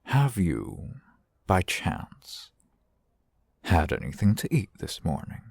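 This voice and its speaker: Man playing a low, gravelly, courteous fantasy shopkeeper. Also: sing-song, no echo, heavily acted. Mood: friendly, courteous. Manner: formal, wordy, measured, polite. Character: courteous, shrewd fantasy shopkeeper, male, dabbling in magic. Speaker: ASMR roleplay performer. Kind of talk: ASMR roleplay, scripted character acting.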